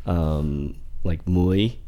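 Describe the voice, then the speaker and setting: A man in his forties with a very low, deep voice rambles through a casual conversation. He circles back on himself with constant fillers and restarts as he tells stories from growing up in Indiana. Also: drawn-out words, warm tone. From Indiana, USA. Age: 40s. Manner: rambling, heavy use of "like" and restarts. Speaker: guest.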